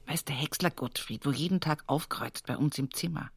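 An actress playing an earthy rural daughter reminiscing at her mother's sickbed in a radio play monologue, intimate and halting, with an Upper Palatinate Bavarian accent. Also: low-pitched, gravelly, breathy edge, dry recording, heavily acted. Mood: wistful musing.

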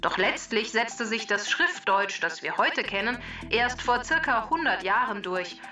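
A crisp, bright female computer helper, voiced by a woman, delivering scripted facts for kids in a tidy, matter-of-fact tone. Her voice sits a little high and sounds a bit distant from the mic, with soft music playing underneath. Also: animated, measured pace. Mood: neutral. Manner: clear, precise, matter-of-fact. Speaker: explainer character on a children's show. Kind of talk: scripted educational narration. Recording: distant voice over background music.

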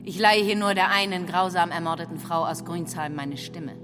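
Long reverb and music surround a calm, eerily composed woman played by an actress. She declares solemnly in a radio play. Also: on the low side, moderate pace, close to an everyday voice. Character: eerily composed woman lending voice to murder victim. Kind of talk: radio courtroom drama.

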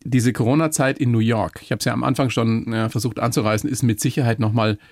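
A man's voice: mid-range voice, steady tone, quick pace, darkish tone, dry recording, clean studio sound. A man hosting an interview, asking chatty, leading questions. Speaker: radio interviewer.

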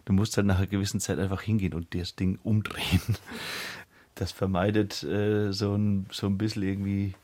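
Deep-voiced man in his forties, chatting. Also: little pitch movement, a little fast, a little mellow. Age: 40s. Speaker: fan and collector being interviewed. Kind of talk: casual spontaneous talk.

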